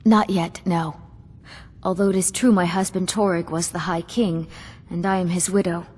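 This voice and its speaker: Husky, grieving young noblewoman ruler. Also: fairly low pitch, fairly even intonation, normal speed, a little mellow. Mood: solemn, resolute. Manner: formal, regal, solemn. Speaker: video game character. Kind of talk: scripted game dialogue. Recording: long hall reverb.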